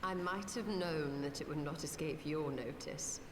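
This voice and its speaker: An actress playing a composed, resolute female mage scholar in a fantasy game, speaking in measured, formal archaic phrases. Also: sits low, echoey room, long reverb tail, distant mic, background noise, lightly acted. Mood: calm resolve. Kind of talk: scripted fantasy game acting.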